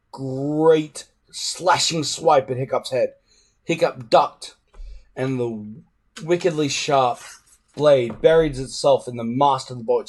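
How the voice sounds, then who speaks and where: A man reading a storybook aloud in an expressive, dramatic way. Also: medium pitch. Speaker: reader.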